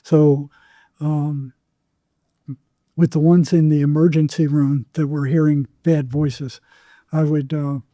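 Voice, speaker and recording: Older man, very dark mellow voice. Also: fairly high pitch, no room sound, clean studio sound. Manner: halting, repeats words, acts out remembered exchanges. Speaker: psychotherapist guest. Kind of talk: conversational storytelling in an interview.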